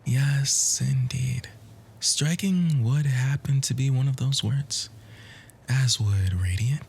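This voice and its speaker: Man acting a slow-talking, soft, flirty bookish charmer. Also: medium pitch, exaggerated voice. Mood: earnest, romantic. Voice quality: soft, breathy, often near a whisper. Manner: formal, wordy, gently hesitant flirting. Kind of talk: ASMR romance roleplay.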